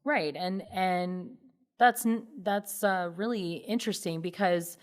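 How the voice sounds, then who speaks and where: A woman's voice: on the low side, takes it slowly. A woman hosting a conversational interview, agreeing in short affirmations and rephrasing points as she asks questions. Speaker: interview host.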